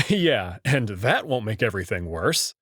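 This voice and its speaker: A man playing an anxious, wry young human man in a visual novel, with an expressive delivery. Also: fairly low pitch, measured pace, breathy edge, no room sound, studio quality, heavily acted. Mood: reluctant, wry. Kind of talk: visual novel narration and character acting. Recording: music behind the voice.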